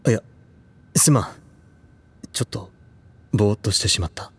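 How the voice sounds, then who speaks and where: Deep-voiced, tormented schoolboy. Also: male voice, normal speed, breathy edge. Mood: distracted, apologetic. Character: serious, reserved, tormented teenage schoolboy. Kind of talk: anime-style audio drama acting, brooding monologue.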